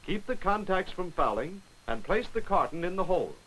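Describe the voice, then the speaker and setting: Guy narrating an old 1940s training film, kinda high voice, wry and instructive. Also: big pitch swings, a little fast, a bit bright, rough recording. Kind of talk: scripted narration.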